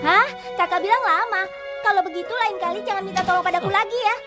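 A woman's voice: very high-pitched, fast talker, loud music behind the voice. A woman voicing an earnest, sensitive teenage schoolgirl in a cartoon dub. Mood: puzzled.

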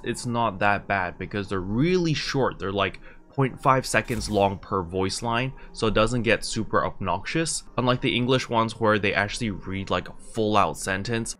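A man's voice: lowish voice, bright, forceful tone. A young adult man hosting a video game tutorial in a casual, conversational, step-by-step explaining style, recorded indoors at home. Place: small room at home, indoors.

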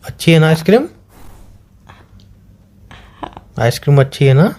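Young boyfriend played by a man for phone-call drama: soft-edged mellow tone, sarcastic, interrogating, repeats his questions. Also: on the high side, sing-song, very fast. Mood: feigned enthusiasm. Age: young adult. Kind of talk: acted phone-call argument between a couple.

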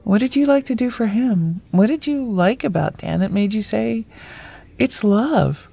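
An actress playing a blunt, wry, loyal friend in a naturalistic audio drama, speaking casually. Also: female voice, fairly high pitch, expressive, unhurried, vocal fry, mellow, breathy edge, exaggerated voice. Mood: frank, challenging. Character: blunt, foul-mouthed, loyal woman friend, homebody.

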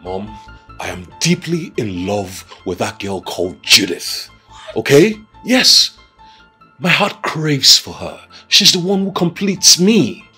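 Young actor as an earnest, husky, lovesick son, lively and confrontational. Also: male voice, medium pitch, slow pace, faint music behind, full character voice. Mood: defiant. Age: young adult. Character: defiant lovesick young man. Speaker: son in love with a girl.

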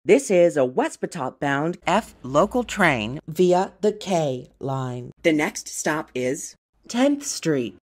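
Female transit announcer, low register, formal segmented script read, animated intonation. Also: takes it slowly. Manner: formal, segmented phrasing pieced from clips. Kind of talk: scripted automated train station announcement.

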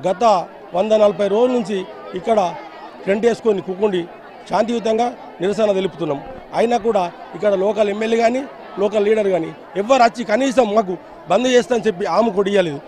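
A man's voice: sits high, a little fast, pauses often, warm tone, some chatter behind. A man who is a protesting farmer, talking plainly and colloquially to a reporter outdoors on a road. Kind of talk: street interview.

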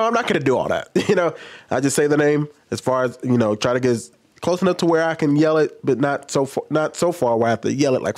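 An American man in his thirties giving casual opinion commentary as a host, conversational and often posing questions to himself. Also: medium pitch. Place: small home room studio. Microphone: microphone on boom arm.

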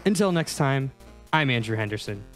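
A man's voice: mid-range voice, animated, a little fast, a bit bright, faint music behind. A man hosting a podcast discussion in a mock-serious, conversational way. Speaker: podcast host.

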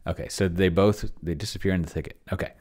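A middle-aged man giving casual think-aloud commentary at his computer in a halting, murmuring way, close to a desk microphone. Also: deep voice, steady tone, darkish tone. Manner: halting, murmuring to himself while typing and reading. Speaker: host, solo roleplaying player. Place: small room at home, indoors. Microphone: desk microphone close to the mouth.

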